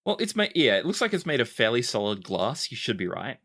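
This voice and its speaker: Lively-pitched man running a tabletop game. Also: brisk. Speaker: game master. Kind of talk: improvised tabletop game narration.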